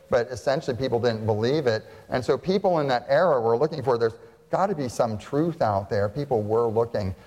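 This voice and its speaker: An American pastor preaching a sermon, talking to the congregation in a conversational way and asking them frequent questions. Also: male voice, medium pitch, a little mellow, big-room sound. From United States. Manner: conversational, asks the audience questions.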